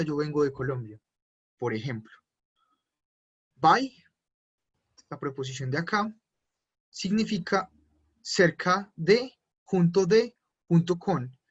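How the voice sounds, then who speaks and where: A man's voice: a little high, breathy edge. A man teaching German in an online class, explaining patiently step by step with frequent fillers. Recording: dull lo-fi online class audio.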